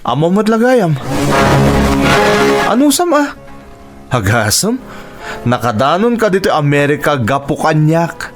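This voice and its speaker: A scornful, domineering husband in a radio melodrama. His fairly high voice leaps up and down as he bullies and sneers in a Filipino accent, with faint music underneath. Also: big pitch swings, measured pace, pauses often. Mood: angry, scornful. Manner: harsh, bullying, sneering. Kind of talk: Ilocano radio drama acting. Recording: music bed under the dialogue.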